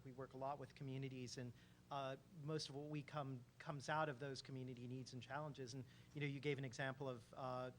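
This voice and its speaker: A man speaking as a university research center director on a forum panel, relaxed and telling anecdotes. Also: mid-range voice, slight background noise. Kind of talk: panel discussion.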